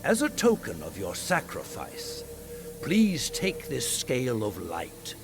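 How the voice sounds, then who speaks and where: Grave fantasy high priest, declamatory, measured pace, widely swinging intonation over music. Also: male voice, wildly swooping pitch, creaky, exaggerated voice. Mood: solemn, ceremonial. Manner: ceremonial, declamatory. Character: solemn high priest of a dragon-hunting order. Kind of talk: dramatic fantasy game acting.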